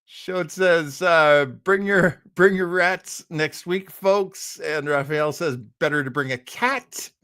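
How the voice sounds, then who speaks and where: A man's voice: on the high side, slow pace, hardly any pauses, pushed and bright, clear, resonant tone, no room sound. Rambling man, close dry sound. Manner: rambling, chatty asides. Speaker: host of an old-time radio listening show. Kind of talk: casual live-stream chat.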